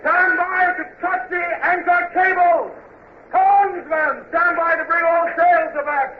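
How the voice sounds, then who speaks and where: An actor playing a ship's officer who shouts urgent orders to the crew, in 1940s radio drama style. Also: male voice, extremely high pitch, little pitch movement, measured pace. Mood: commanding. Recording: tinny echoey old radio recording, crowd noise.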